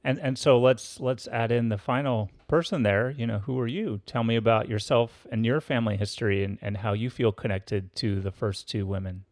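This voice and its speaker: A man hosting a podcast interview, asking questions in an easygoing, somewhat halting way. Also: medium pitch, a little mellow, no echo, clean studio sound. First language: not French. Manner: easygoing, halting, friendly prompts. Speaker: podcast host. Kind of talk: interviewing a guest.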